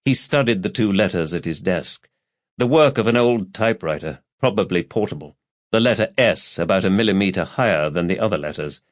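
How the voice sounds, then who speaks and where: A man's voice: low-pitched, animated, a little fast, no room sound, highs cut off. A man reading a detective thriller as an audiobook narrator, in scripted, dramatised narration. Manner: dramatised reading with character voices.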